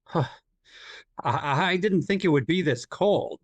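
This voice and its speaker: A man's voice: on the high side, lively intonation, dry recording. Man playing a hesitant, shy writer, dry sound. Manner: hesitant, halting, apologetic. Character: shy, awkward male writer from the South. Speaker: actor in an audio play. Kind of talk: naturalistic audio drama dialogue.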